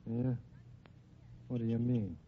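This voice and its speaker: An actor playing a tough, indignant gangster in a 1950s radio drama, talking in blunt, defensive, ungrammatical slang. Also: male voice, mid-range voice, fairly even intonation, slow, lightly acted. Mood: grudging. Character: tough, indignant male gangster nightclub owner. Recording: muffled, noisy old radio recording.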